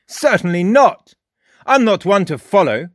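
A man's voice: high-pitched, big pitch swings, no room sound, studio quality, pitched above the performer's own voice. A male narrator voicing a mischievous, fox-like teenage boy who taunts and lectures in a lofty, mocking way. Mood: boastful. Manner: mocking, lofty, rapid-fire barrage. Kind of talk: audiobook character voice.

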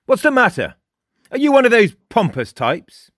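A man's voice: very high-pitched, huge pitch swings, a bit bright, dry recording, studio quality, voice pushed up, exaggerated voice. A male narrator voicing a mischievous, fox-like teenage boy who taunts and lectures in a lofty, mocking way. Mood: taunting. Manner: mocking, lofty, rapid-fire barrage. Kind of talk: audiobook character voice.

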